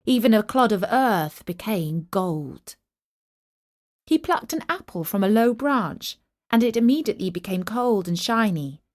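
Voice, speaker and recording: A woman narrating a scripted children's story as its host, expressive and carefully phrased. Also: mid-range voice, unhurried.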